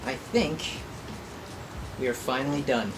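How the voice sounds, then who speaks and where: A man's voice: medium pitch, a little slow, creaky, music in the background, poor audio. A man hosting a hobby craft video, chatting informally and explaining things in a self-deprecating way. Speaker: host of a craft and painting video. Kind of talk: casual chat, explaining a hobby project.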